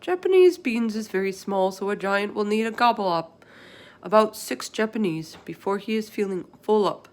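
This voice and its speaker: Woman voicing an emphatic, excitable friendly giant. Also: medium pitch, normal speed, pitched above the performer's own voice, natural voice. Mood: emphatic explaining. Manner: emphatic, garbled folksy grammar with made-up words. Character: big friendly male giant, earnest, excitable, muddled speech.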